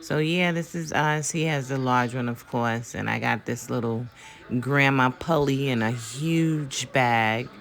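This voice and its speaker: A woman casually narrating her own shopping video in a relaxed, chatty way, with slang and fillers. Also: very deep voice, a little slow. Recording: voice-over under loud music.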